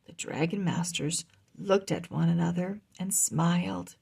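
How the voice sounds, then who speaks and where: Slow, expressive woman reading to children. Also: lowish voice, vocal fry, slightly rough. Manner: expressive, deliberate. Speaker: storybook reader, host. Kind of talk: children's read-aloud, scripted narration.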